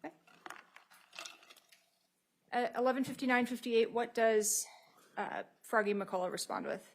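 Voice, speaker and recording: A woman's voice: fairly high pitch, measured pace. A woman working as an attorney, questioning a witness in court in a methodical, procedural way.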